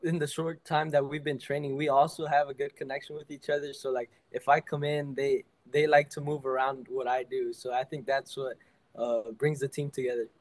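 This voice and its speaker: A teenage high school soccer player answering interview questions over a video call, relaxed and full of "like" and "I mean". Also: male voice, brisk, poor audio. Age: teens.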